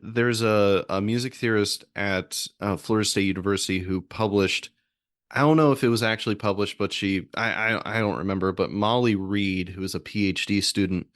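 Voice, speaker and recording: An American man with a deep voice hosting a conversational interview, talking in a rambling, hesitant way and often correcting himself. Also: steady tone, moderate pace, no echo, clean studio sound. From southern United States. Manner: extroverted. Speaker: podcast host, music theorist.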